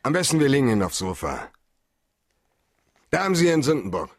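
An actor playing a tough, cynical private detective in a radio crime drama, talking in a blunt, cool, slangy way. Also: male voice, medium pitch, big pitch swings, a little fast, no echo, clean studio sound. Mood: commanding.

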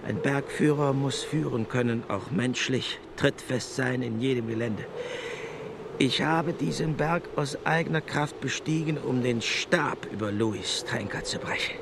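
An actor in a German radio drama playing a haughty, carping Nazi minister who makes pedantic, imperious pronouncements. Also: male voice, mid-range voice, unhurried, gravelly, background noise.

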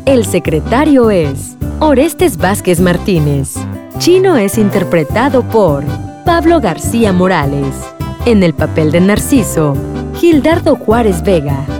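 A woman reading a scripted announcement as a radio drama announcer. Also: mid-range voice.

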